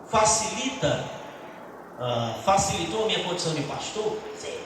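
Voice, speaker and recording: Middle-aged male pastor, earnest testimony with halting restarts, fairly high voice, distant mic in an echoey church hall with noise behind. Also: sing-song. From Brazil. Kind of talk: church teaching talk.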